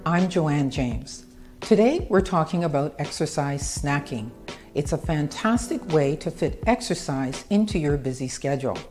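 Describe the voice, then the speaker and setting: A woman's voice: low-pitched, lively intonation, measured pace, darkish tone, soft background music. A woman presenting a short scripted fitness tip segment for a sponsor, with a polished, upbeat delivery.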